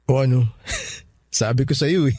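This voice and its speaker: An actor playing a polite, good-natured young Filipino boyfriend in a radio drama, respectful and easygoing. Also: male voice, medium pitch, unhurried, a little mellow, slight background noise, natural voice. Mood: playful.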